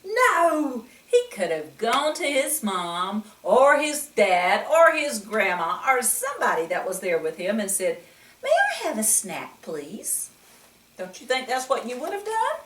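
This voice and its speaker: A woman reading a picture book aloud to children in an animated way, often putting questions to the listener. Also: fairly high pitch, unhurried, hardly any pauses. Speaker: children's story reader. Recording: echoey room, distant mic.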